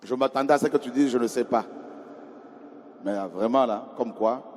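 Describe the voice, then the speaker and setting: A man preaching a sermon in a conversational way, often questioning the congregation and moving from quiet asides to loud cries. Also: mid-range voice, moderate pace. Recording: hall reverb with faint ambience.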